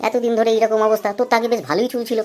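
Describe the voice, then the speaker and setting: Man voicing a cartoon husband, thirties, rapid speech, chatty, coaxing, thrifty joker. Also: very high voice, level tone, a little mellow. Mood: teasing. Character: cheerful teasing husband, thrifty, in his thirties. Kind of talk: amateur comedy cartoon dialogue.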